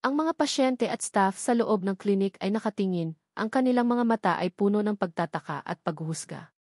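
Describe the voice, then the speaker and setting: A woman's voice: mid-range voice, lively intonation, fairly quick, darkish tone, no room sound, clean studio sound. A woman with a Filipino accent reading scripted dramatic narration of a romance story.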